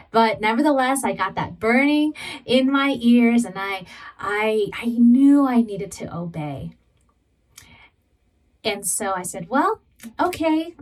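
Woman preacher from Southern California, slow measured delivery, earnest testimony, voice picked up from across the room. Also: a little high, recorded from a distance, low-quality recording. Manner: slow, deliberate, earnest storytelling. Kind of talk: sermon with personal testimony.

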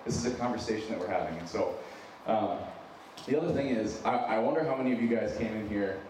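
A man's voice: lowish voice, quick pace, darkish tone. A man in his thirties with an American accent touched by Minnesotan gives a conversational sermon to an audience, informal, self-deprecating and earnest, repeating phrases for emphasis. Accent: American with a slight Minnesotan touch. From United States, born in San Diego and raised in Wyoming. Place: large echoey room with an audience. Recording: very echoey noisy hall, distant mic.

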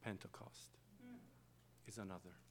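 A man from Israel with an Israeli accent, a native Hebrew speaker, giving a slow, reflective guest sermon in a church. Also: low voice, fairly even intonation, creaky, a little hiss or hum. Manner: slow, reflective, with long pauses and soft trailing phrases. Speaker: guest preacher. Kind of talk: meditative sermon with chanted Hebrew opening.

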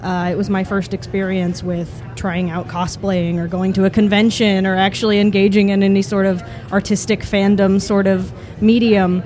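A woman speaks at a live podcast taping in a bar. She talks earnestly and from the heart, pausing with "uh" and "um" as she finds her words. Her voice sits at an everyday pitch. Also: level tone, darkish tone. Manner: earnest, heartfelt, with hesitant fillers. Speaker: podcast guest. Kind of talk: sincere personal reflection.

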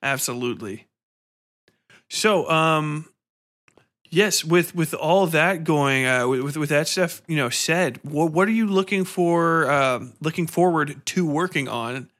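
Bright-voiced man chatting as podcast host. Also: on the high side, unhurried. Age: 30s. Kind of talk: casual conversational interview.

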